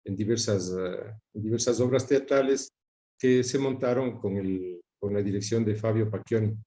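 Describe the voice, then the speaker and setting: A middle-aged man with an Ecuadorian accent, reminiscing in an interview and often pausing to search for words. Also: lowish voice, dark tone. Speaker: interview guest recalling his father's career. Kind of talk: reflective spoken recollection in an interview.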